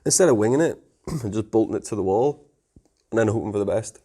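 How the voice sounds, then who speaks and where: A man's voice: fairly low pitch, lively intonation, fast, warm tone, slightly rough. A man giving a casual technical tutorial in an informal, plain-spoken, conversational way. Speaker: technical presenter explaining network setup.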